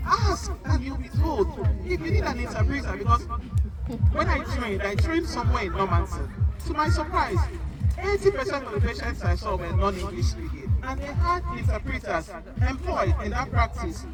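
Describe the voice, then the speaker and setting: A woman's voice: high-pitched, sing-song, moderate pace, loud music behind the voice, low-quality recording. A Nigerian woman, a native Igbo speaker, giving an informal health talk as a doctor in an emphatic, conversational way. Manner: emphatic, conversational, repeats words for stress. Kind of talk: informal public health talk to a community group.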